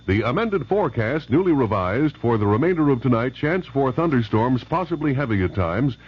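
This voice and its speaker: A man's voice: medium pitch, sing-song, moderate pace, husky. A man with an American accent reading a scripted local news and weather bulletin on the radio. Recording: dull, noisy old radio broadcast recording.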